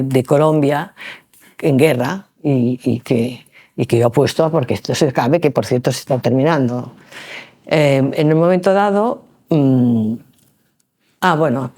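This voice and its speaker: A woman's voice: deep voice, dark tone. A woman from Barcelona, a writer, answering interview questions in a rambling, conversational way, often correcting herself and adding "¿no?" tags. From Barcelona, Spain. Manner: discursive, self-correcting, frequent "¿no?" tags. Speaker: author interviewee. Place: lecture room at a university event.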